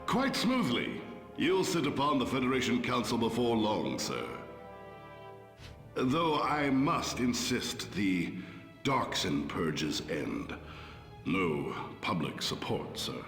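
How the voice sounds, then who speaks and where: An actor playing a smooth, deferential male ambassador in a game cutscene, speaking in an obsequious way. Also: mid-range voice, sing-song, takes it slowly, fairly bright tone, faint music behind, exaggerated voice. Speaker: game character. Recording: reverberant with game score behind.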